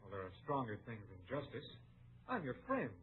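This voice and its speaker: Animated, desperate western townsman. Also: medium pitch, normal speed, husky, heavily acted. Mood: resigned, defensive. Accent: American. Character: desperate, self-justifying western townsman turned betrayer. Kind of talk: 1950s American radio western acting. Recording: muffled, narrow-band old radio recording.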